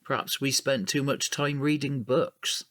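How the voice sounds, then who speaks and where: A man's voice: mid-range voice, steady tone, a little slow, a bit bright, natural voice. A man playing a weary but resolute middle-aged father in an audio drama, terse and firm.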